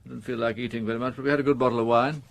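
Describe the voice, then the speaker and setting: An actor playing a fussy upper-class English gentleman in a radio crime drama, languid, grumbling and well-mannered. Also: medium pitch, steady tone, rapid-fire, creaky, close to an everyday voice.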